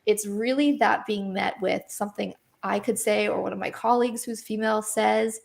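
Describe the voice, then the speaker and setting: A woman's voice: mid-range voice, steady tone, normal speed, creaky, a little mellow. A woman with a Canadian accent, a guest in a casual discussion, whose statements rise like questions and who laughs often. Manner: upspeak, rising intonation; frequent laughs and fillers. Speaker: guest site owner.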